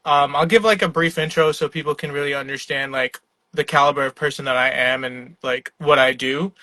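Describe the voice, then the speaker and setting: A young man from New York speaking on a live video call, his voice bright and forceful, a little higher than most men's. He talks in a relaxed, rambling way, full of "um" and "like," and laughs now and then. The sound is dry and close. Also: brisk, no echo, rough recording. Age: young adult. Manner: laid-back, filler-heavy, laughs often. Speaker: startup founder, guest. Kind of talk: casual conversational interview. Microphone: online live video call.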